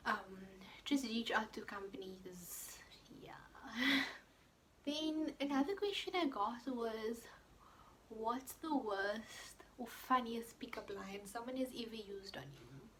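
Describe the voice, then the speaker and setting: A woman's voice: fairly high pitch, slight background noise. A young woman chatting casually as she answers viewer questions in her vlog, full of fillers and quoted asides. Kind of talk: casual chat.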